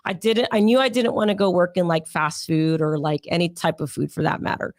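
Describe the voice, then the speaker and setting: A young adult woman, hoarse and raspy from sinus pressure, chatting as an interview guest in a rambling way with many fillers. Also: fairly low pitch, steady tone, brisk, dry recording, studio quality. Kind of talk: casual interview storytelling.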